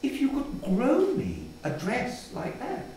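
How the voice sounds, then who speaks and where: A man's voice: very high-pitched, huge pitch swings, takes it slowly, a little mellow, exaggerated voice. An older man voicing a timid, hopeful young child who speaks hesitantly and wistfully in a storybook reading. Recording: echoey hall from far off, some noise.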